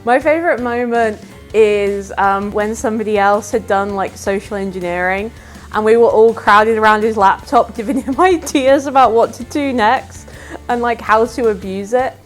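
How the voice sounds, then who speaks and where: A woman's voice: fairly high pitch, moderate pace. A woman bug bounty hunter chatting casually, with lots of "like" fillers. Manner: friendly, chatty, many "like" fillers. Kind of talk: casual interview answers. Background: faint music.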